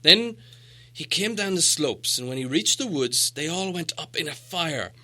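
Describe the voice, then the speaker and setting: A man's voice: on the high side, expressive, normal speed, pushed and bright, pitched above the performer's own voice, heavily acted. A man voicing a proud, solemn old dwarf leader in an audiobook, with a grave, grandiose storytelling manner. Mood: urgent, dramatic. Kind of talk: audiobook character dialogue.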